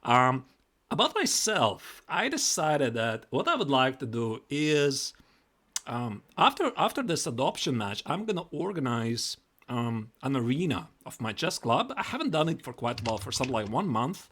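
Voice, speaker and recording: A man's voice: mid-range voice, sing-song, normal speed, fairly bright tone. A man with a Latvian accent chatting casually on a live chess stream, repeating and restarting his words.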